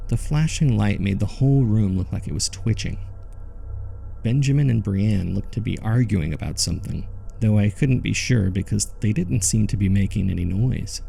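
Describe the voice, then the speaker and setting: A man narrating a horror audio drama as a grave witness, in a deliberate, somber storytelling manner. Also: on the low side, creaky, dark tone, husky, heavily acted.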